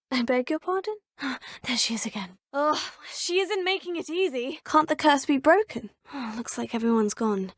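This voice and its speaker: A woman's voice: high-pitched, lively intonation, normal speed, hardly any pauses, no room sound, clean studio sound. An actress voicing a young female student witch in a video game, speaking in a polite, proper way. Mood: poised. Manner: polite, proper, crisp phrasing. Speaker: player character.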